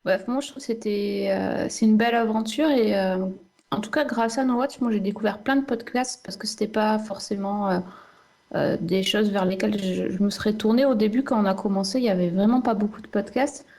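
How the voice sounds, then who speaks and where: A woman's voice: mid-range voice, fairly quick, warm tone. A woman speaking casually as a panelist in a podcast discussion.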